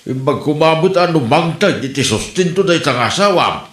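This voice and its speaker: A teasing, talkative old father with an Ilocano accent, played by a man in a comedy drama. His delivery is very lively in pitch. The room rings with echo around him. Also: normal speed. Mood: concerned. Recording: echoey room.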